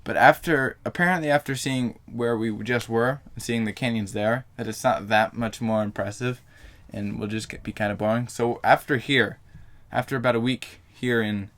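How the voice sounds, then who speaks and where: A man's voice: medium pitch, steady tone, moderate pace, few pauses, a bit bright. A young man vlogging to camera in a casual, rambling way, full of fillers and self-corrections.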